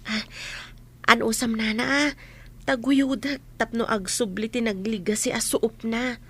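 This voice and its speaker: A woman's voice: on the high side, steady tone, measured pace, a bit bright, husky, heavily acted. A Filipino woman playing a reassuring village massage healer in an audio drama, patient in manner. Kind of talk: Ilocano audio drama acting.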